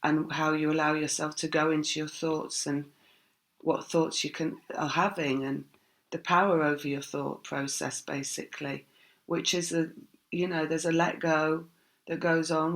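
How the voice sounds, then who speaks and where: A woman reads tarot in a relaxed, meandering way and keeps circling back over her thoughts. Her voice is croaky and gravelly, with a lot of fry, and her pitch stays flat. She pauses often on soft fillers. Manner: rambling, reflective, many fillers. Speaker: tarot reader. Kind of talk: casual spiritual reading.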